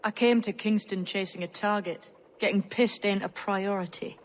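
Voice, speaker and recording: An actress playing a scrappy young pirate who passes as a lad, blunt and defiant, in a video game. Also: female voice, mid-range voice, fairly even intonation, moderate pace, natural voice. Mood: curt, wary. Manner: blunt, streetwise. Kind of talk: cinematic video game acting.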